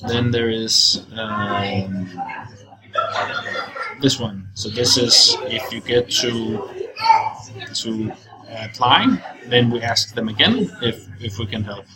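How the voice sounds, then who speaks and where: A young man giving an informal screencast walkthrough, explaining in a halting, loose way as he goes. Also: on the low side. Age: young adult. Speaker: software walkthrough presenter. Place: indoors, at a computer. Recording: clearly noisy screencast recording.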